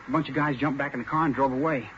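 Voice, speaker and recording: An actor playing an earnest, polite young navy sailor as a hesitant eyewitness in 1940s radio drama style. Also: male voice, medium pitch, steady tone, rapid-fire, a bit bright, natural voice. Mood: earnest, shaken. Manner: hesitant yet eager, polite. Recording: muffled, noisy 1940s radio broadcast.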